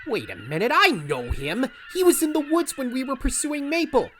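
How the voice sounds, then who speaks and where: A woman's voice: high voice, big pitch swings, normal speed, slight background noise. A woman voicing a plucky, brash and loyal young male raccoon adventurer in an audio drama. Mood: bold.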